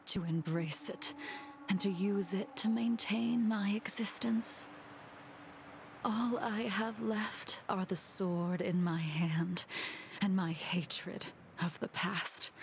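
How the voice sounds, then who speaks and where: A woman playing a cold, weary, ageless swordswoman in a video game, breathy, solemn and formal. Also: medium pitch, a little hiss or hum. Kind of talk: English video game voice acting. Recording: game music behind the voice.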